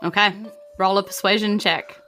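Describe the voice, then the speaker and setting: A woman's voice: mid-range voice, steady tone, moderate pace, fairly bright tone, soft background music. A woman improvising narration as game master in a casual, chatty way, often hedging as she goes. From New Zealand. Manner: casual, hedging with frequent "like" and "you know".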